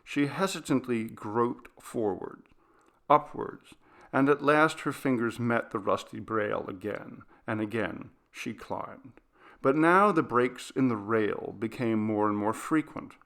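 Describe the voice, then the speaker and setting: Gravelly-edged man narrating a ghost story. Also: mid-range voice, slow, a bit bright. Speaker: audiobook narrator.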